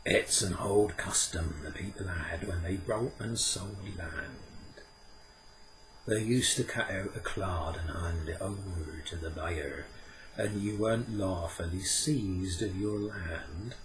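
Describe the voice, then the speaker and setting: Sly ancient male sprite for a storybook reading, deep-voiced and unhurried, playful lilt, as a male reader puts him on. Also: background noise. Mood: knowing. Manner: sly, measured, slips into a slow sing-song chant.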